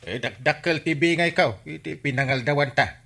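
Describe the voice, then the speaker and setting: A man's voice: fairly high pitch, normal speed, gravelly, fairly bright tone. A man playing a complaining village customer in an Ilocano comedy radio drama, grumbling and protesting with an Ilocano accent. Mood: indignant. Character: complaining village customer disputing an overpriced shared bill.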